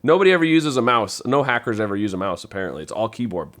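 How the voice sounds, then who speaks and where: A man talking casually on a podcast, telling things in an animated, colloquial way. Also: lowish voice, racing.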